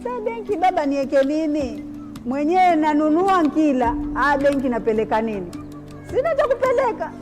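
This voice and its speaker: A small business owner speaks plainly and candidly in a documentary interview. Her voice is fairly high for a woman, and clear instrumental music runs underneath. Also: big pitch swings, brisk.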